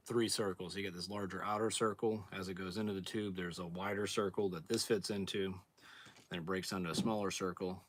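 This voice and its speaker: A hoarse man clearing his throat as he explains a cleaning job step by step in a relaxed, plain-spoken way, sometimes moving away from the camera mic. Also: sits low. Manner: relaxed, plain-spoken, thinking aloud while working. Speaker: outdoors channel host. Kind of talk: casual hands-on demonstration.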